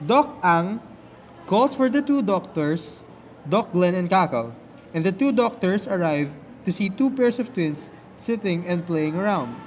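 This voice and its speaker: Man, high voice, slow scripted explainer, faintly noisy amateur recording. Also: animated. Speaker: student narrator and presenter. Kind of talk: scripted narration in a biology puppet show.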